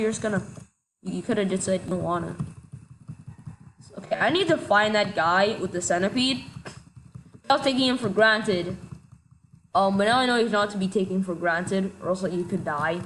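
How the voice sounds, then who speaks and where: A woman giving casual gameplay commentary, excitable and quick to shout in frustration, a little sick. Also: medium pitch, slight background noise, rough recording. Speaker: gaming commentator.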